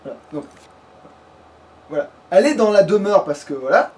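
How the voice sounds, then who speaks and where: A man's voice: high-pitched, sing-song, normal speed. A man commenting casually on his game, with crude joking slang. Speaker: let's player. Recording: noisy home recording.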